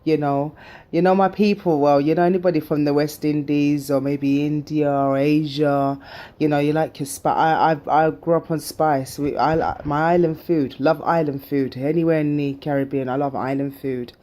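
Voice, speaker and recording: A middle-aged woman talks straight to her viewers in a low, mellow voice. She rambles through her story with plenty of fillers and turns emphatic and encouraging as she makes her point. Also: steady tone, normal speed. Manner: chatty and emphatic, many fillers, motivational. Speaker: vlogger sharing her surgery journey. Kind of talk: casual personal storytelling to camera.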